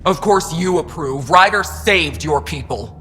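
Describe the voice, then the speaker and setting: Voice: mid-range voice, animated, a little slow, bright, forceful tone, big-room sound, a little hiss or hum. An actor playing a prickly, scheming alien official in a science fiction video game. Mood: snide. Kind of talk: video game dialogue acting.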